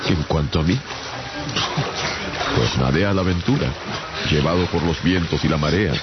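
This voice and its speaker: An actor playing a seafaring English surgeon who recounts his adventures in measured radio drama narration. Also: a bass voice, heavy background noise. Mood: weary, dramatic. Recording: dull radio production under loud music.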